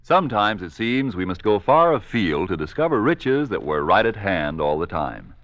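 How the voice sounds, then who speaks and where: A man's voice: lowish voice, very animated, moderate pace, fairly bright tone, no room sound, soft background music. A man announcing a sponsor's message in polished, emphatic 1940s radio advertising style. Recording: dull vintage 1940s radio recording.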